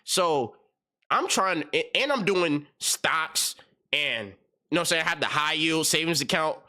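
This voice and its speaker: A man's voice: fairly high pitch, animated, measured pace, sharp tone. A man in his twenties hosting a reaction channel, giving slangy, rambling commentary with many repeated words. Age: 20s.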